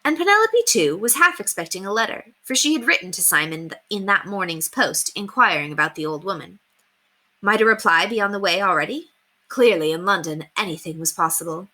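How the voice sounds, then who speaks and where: A woman reads a children's novel aloud with dramatic, deliberate phrasing. Her tone is bright and her pitch moves in a very animated way. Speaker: storybook reader. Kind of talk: expressive scripted narration of a children's novel.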